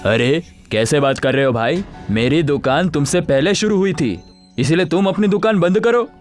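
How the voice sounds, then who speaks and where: A man's voice: a little high, moderate pace, faint music behind, close to an everyday voice. A man as an earnest cartoon village shopkeeper. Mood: indignant. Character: aggrieved small-town food seller. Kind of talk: animated story acting.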